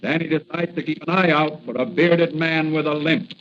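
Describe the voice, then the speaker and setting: An actor as the narrator of an adventure serial, telling the story with emphatic, suspenseful drama in 1940s radio style. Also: male voice, fairly high pitch, fairly even intonation, moderate pace, a bit bright, close to an everyday voice. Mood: measured suspense. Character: dramatic male announcer of a thrilling adventure serial. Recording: muffled, noisy vintage radio recording.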